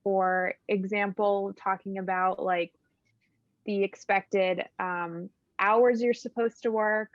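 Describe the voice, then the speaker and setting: A woman's voice: mid-range voice, no echo. Young woman panelist, easygoing conversational delivery, occasional stutter, dull dry audio. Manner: relaxed, conversational, light laughs, occasional stutter. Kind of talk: casual panel discussion.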